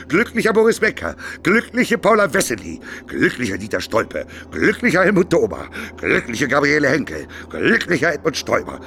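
An actor playing a scornful chanter in a radio play, declaiming emphatic, drawn-out litanies. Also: male voice, fairly high pitch, fairly bright tone. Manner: incantatory, emphatic, drawn-out repetitions. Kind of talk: German radio play recitation.

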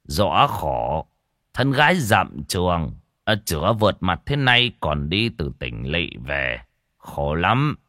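A man voicing a kindly older village official in a storybook, halting and gently questioning in old-fashioned rustic speech. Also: very deep voice, big pitch swings, no echo, studio quality, voice pushed down, heavily acted. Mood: pitying, gentle.

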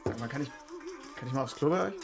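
A casual, slightly puzzled delivery driver in a radio drama. His voice sits at a middle pitch, with music clearly playing behind it. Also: animated, quick pace. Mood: casual, bemused. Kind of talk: naturalistic radio drama acting.

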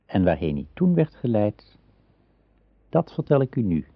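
An actor playing a solemn storyteller of old legends, narrating a radio drama in a husky voice. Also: male voice, big pitch swings, unhurried. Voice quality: low, husky. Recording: dull, dry radio play recording.

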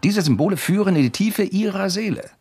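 An actor playing a persistent, slyly courteous investigator in a German radio crime drama, questioning insistently and deliberately. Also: male voice, high-pitched, animated, dark tone, breathy edge. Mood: mysterious, coaxing. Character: persistent amateur investigator, male, probing with sly courtesy.

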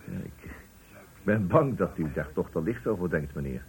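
An actor playing a nervous, deferential factory foreman in a radio play, raspy and eager to agree with his superior. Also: sits low, animated, normal speed. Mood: nervous, placating. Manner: deferential, hesitant, ingratiating. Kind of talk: Dutch radio play acting.

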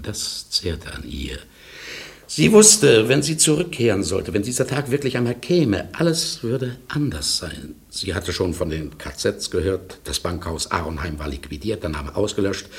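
An actor playing a melancholy husband in a German radio drama, speaking in an emphatic, halting, dramatic way. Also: male voice, lowish voice. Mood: wistful. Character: melancholy man narrating his lost love, anxious husband. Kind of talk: German radio drama acting, narration and dialogue.

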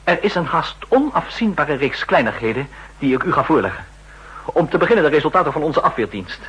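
An actor playing a driven counter-intelligence official, formal, pointed and emphatic, in a 1960s radio drama. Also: male voice, fairly high pitch, sing-song, brisk, a bit bright, exaggerated voice, low-quality recording. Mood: insistent, biting. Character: driven, insistent male counter-intelligence official making accusations. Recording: rough old 1960s radio recording.

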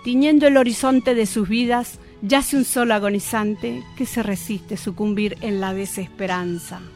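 A woman's voice: mid-range voice, steady tone, normal speed, a little mellow, faint music behind. A woman with an Argentine accent reading scripted documentary narration in a formal, solemn manner.

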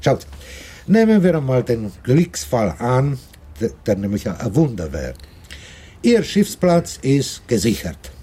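An actor playing a talkative, world-weary older man in a German radio drama, lecturing emphatically with halting pauses and repetitions. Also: medium pitch, sing-song, warm tone, husky, full character voice. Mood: lecturing, insistent.

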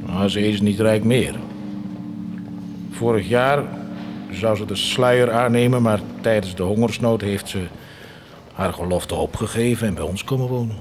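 A man's voice: fairly low pitch, measured pace, long pauses, background noise, natural voice. An actor playing a plain, earnest village peasant man who speaks simply and a little hesitantly, in a radio drama.

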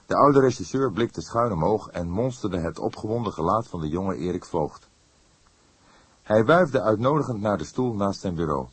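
A male audiobook narrator reading crime fiction. His voice is low and somewhat husky, with animated, expressive intonation that carries the scripted storytelling. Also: normal speed, dry recording.

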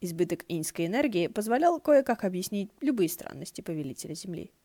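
Mellow, low-voiced woman narrator. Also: very animated, brisk, no room sound, studio quality. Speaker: audiobook narrator. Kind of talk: expressive scripted narration of fan fiction.

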